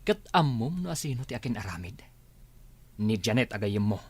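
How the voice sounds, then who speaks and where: A man's voice: on the low side, sing-song, normal speed, husky, dry recording, heavily acted. A man playing an argumentative, fault-finding village husband with an Ilocano accent in a comedy radio drama. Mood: accusing, taunting. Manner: argumentative, taunting.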